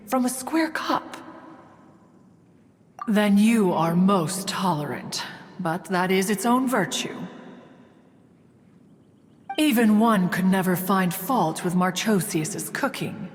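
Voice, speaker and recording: A woman's voice: medium pitch, animated, takes it slowly, long pauses, long reverb tail. A woman voicing a proud, ancient bird-like immortal in a video game, telling stories in pompous, archaic and formal speech. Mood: fond reminiscing. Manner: pompous, archaic, formal, refers to herself as "one". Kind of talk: theatrical game storytelling. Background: background music.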